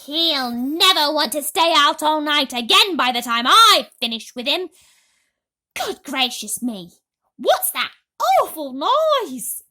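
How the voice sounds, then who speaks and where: A woman's voice: sits high, sing-song, slow, pushed and bright, voice pushed up, full character voice. A woman voicing a cruel, greedy aunt in a storybook, drawing out her nasty words with emphasis. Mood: menacing. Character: cruel, greedy, spiteful middle-aged aunt, storybook villain.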